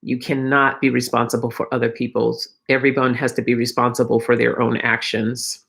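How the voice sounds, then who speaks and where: A woman's voice: a bass voice, little pitch movement, moderate pace, gravelly, fairly bright tone, slightly rough. A middle-aged woman with a slightly froggy, tired voice, hosting a casual spiritual livestream and speaking reflectively and encouragingly straight to her viewers. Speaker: host of a live oracle card reading.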